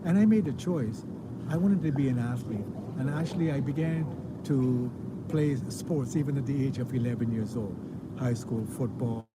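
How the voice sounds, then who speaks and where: A man's voice: mid-range voice, normal speed. A man in his seventies from Trinidad, a naturopathic doctor being interviewed live in an auditorium, speaking in an earnest, educating way and a little tired. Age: 70s. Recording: loud background noise.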